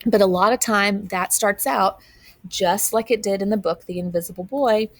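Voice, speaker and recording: Female teacher, expressive children's read-aloud, mid-range voice, dry recording. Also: mid-range voice, moderate pace, no echo. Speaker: teacher reading a picture book aloud.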